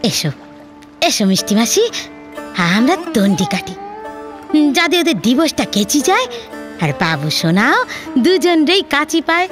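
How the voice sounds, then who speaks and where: A woman's voice: sits high, sing-song, normal speed, pauses often, over music. A woman playing a young, naive, affectionate village maidservant in an audio drama, plaintive and earnest, with a rural West Bengal accent. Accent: rural West Bengal dialect.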